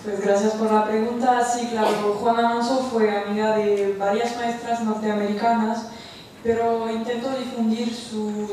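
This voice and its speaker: A woman's voice: medium pitch, darkish tone. A woman answers a question as a panelist, giving a spontaneous academic explanation. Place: large echoey indoor conference room. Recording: echoey room, distant mic, faint noise.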